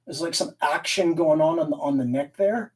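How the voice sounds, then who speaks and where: A man's voice: fairly high pitch, normal speed, a little mellow, distant mic. A man raised in Canada hosting a casual live stream, rambling, laughing often and reading the chat aloud. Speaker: livestream host and guitar collector.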